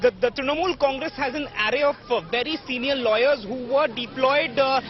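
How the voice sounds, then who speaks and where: A woman news reporter giving an unscripted live report in a halting way, full of fillers. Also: sits high, a faint crowd. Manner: halting, filler-heavy delivery. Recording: dull, lo-fi live link.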